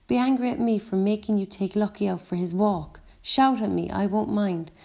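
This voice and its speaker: A woman's voice: medium pitch, normal speed, vocal fry, dark tone. A woman voicing an anxious mother in an audiobook story, earnest and urging as she speaks to those around her. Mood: desperate, pleading. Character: anxious, pleading mother at her sick son's bedside.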